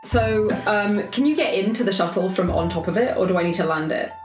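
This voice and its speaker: A woman's voice: mid-range voice, brisk, soft background music. A woman playing a tabletop game, casually talking through her actions. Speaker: roleplaying game player. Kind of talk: casual chat with improvised narration. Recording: roomy echo.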